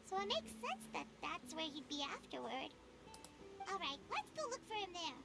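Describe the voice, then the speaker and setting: Game sidekick: a chirpy little fairy, very high and bouncy, refers to herself by name. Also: female voice, sing-song, moderate pace, vocal fry, very noisy, full character voice. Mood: chatty. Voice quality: bright, squeaky. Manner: speaks of herself in the third person. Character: tiny floating female fairy companion, chirpy and excitable. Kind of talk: video game voice acting.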